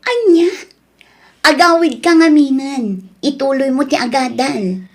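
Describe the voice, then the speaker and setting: A woman's voice: sits high, animated, unhurried. A woman with an Ilocano accent playing a concerned, advising elder sister in an audio drama. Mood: alarmed, scolding.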